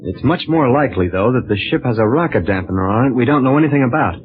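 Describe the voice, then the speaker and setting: A man's voice: mid-range voice, lively intonation, quick pace, soft background music, close to an everyday voice. A man playing a decisive young American space hero in a 1930s radio serial, with punchy, folksy delivery. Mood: puzzled. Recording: tinny 1930s radio recording, faint noise.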